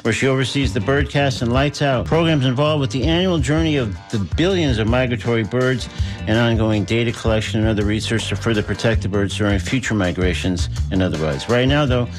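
A male radio host with a middle-pitched voice. He talks in friendly, rambling runs and strings long questions together. Faint music sits under his voice. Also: a little fast. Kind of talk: radio interview and show links.

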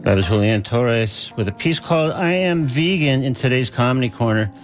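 A man hosting a radio interview show, measured and friendly, pausing often with "uh". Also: mid-range voice, animated, music in the background. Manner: measured, friendly, with frequent "uh" pauses.

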